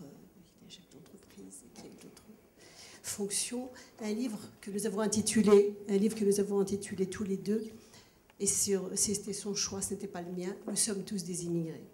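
A middle-aged woman takes the handheld mic at a formal public debate. Her voice is mid-pitched and mellow, and she argues earnestly, repeating phrases for weight. The large hall echoes around her, with noticeable background noise. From born in Kabylie, Algeria, grew up in France from age nine. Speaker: audience member questioning a speaker at a public debate. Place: large ornate hall with seated audience, indoors. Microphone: handheld microphone.

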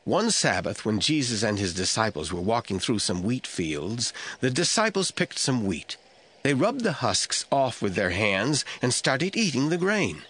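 A man narrating a scripture audio drama from a script in a deliberate storytelling manner. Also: mid-range voice, sing-song, a bit bright, husky. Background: faint music.

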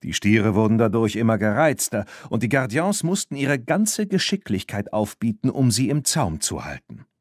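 A man narrating a children's story from a script in an expressive, animated way. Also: fairly low pitch, moderate pace, hardly any pauses, dry recording, studio quality. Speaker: audiobook narrator.

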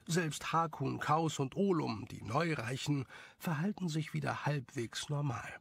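A man reading scripted story narration as the narrator, with a creaky voice. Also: a little high, lively intonation, unhurried, dry recording.

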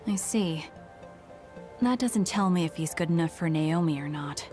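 Cool, low-voiced young woman soldier, acted. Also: moderate pace, faint music behind, natural voice. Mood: aloof. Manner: cool, deadpan. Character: aloof, blunt young female soldier. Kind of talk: anime video game dub acting.

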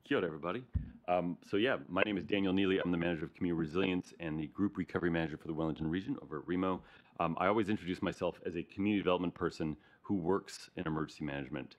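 A man's voice: deep voice, racing. A middle-aged American man from Arizona, a regional emergency recovery manager, presenting to a council meeting in a conversational way, often adding "right?". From Arizona, USA. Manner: conversational, frequent "right?" tag questions. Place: council chamber, large meeting room.

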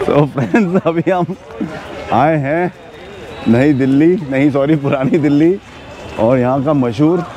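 A man's voice: on the high side, unhurried, long pauses, dark tone, dull audio. A man in his fifties hosting a food vlog, chatty and enthusiastic, talking into a lapel mic outdoors. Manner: chatty, enthusiastic, mixes English words into Hindi. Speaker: food vlogger host. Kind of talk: casual food vlog narration. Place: outdoors on busy city streets. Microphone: clip-on lapel mic. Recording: street noise behind.

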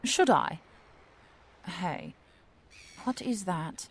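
An actress playing a feisty, skeptical, indignant woman in an audio drama, sharp-tongued and sarcastic. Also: fairly low pitch, expressive, drawn-out words, husky, full character voice. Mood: incredulous.

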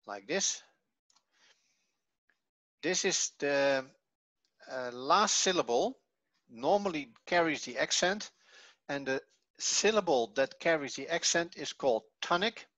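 A man teaches an online class at a slow, deliberate pace. His voice is bright and forward, with a dry, close sound. He explains step by step, with halting pauses. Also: a little high, animated, no room sound. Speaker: Hebrew teacher. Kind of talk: online lesson, reading and explaining a textbook. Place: online video call.

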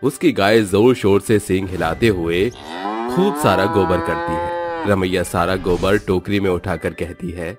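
A man's voice: sits low, a little slow, over loud music. A man reading scripted narration for a cartoon village story as its storyteller.

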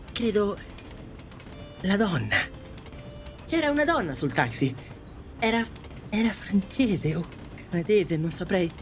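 A woman voicing a confused, halting man who has newly died, in an audio drama. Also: mid-range voice. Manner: halting, bewildered. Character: confused, hesitant newly dead man, passenger on an afterlife train.

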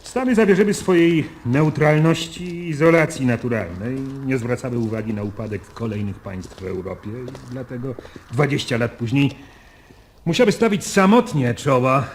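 An actor playing a genial, gently teasing family man in naturalistic radio drama style. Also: medium pitch, animated, normal speed, slight background noise, close to an everyday voice. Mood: calm, resigned. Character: genial family man, affectionate husband and tolerant friend.

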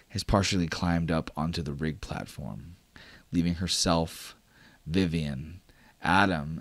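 Deep-voiced man narrating a tabletop game. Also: no echo. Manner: vivid descriptive narration with many fillers. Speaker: game master.

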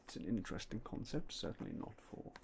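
A young man whispering softly and politely as a game shop clerk in an ASMR roleplay, close to a binaural mic. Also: fast talker, very noisy. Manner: quiet, whispery, polite shopkeeper patter.

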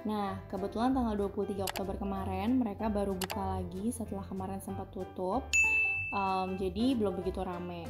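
A woman's voice: mid-range voice, steady tone, brisk. A woman giving a casual vlog voice-over as she narrates a family outing. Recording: music behind the voice. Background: clear background music.

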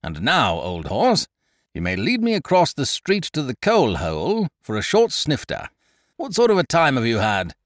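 A man voicing a loud, hearty, scheming young gentleman adventurer who blusters expansively. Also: mid-range voice, wildly swooping pitch, moderate pace, no room sound, clean studio sound, voice pushed up.

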